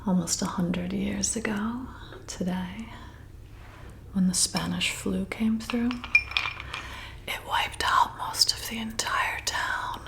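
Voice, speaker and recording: Woman as a creaky, whispering flirty vampire bartender. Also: mid-range voice, steady tone, a bit bright. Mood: hushed, intrigued. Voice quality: breathy whisper with creaky edges. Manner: slow, intimate, coaxing. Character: flirty, welcoming female vampire bartender, softly seductive. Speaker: ASMR performer. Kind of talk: ASMR roleplay, whispered.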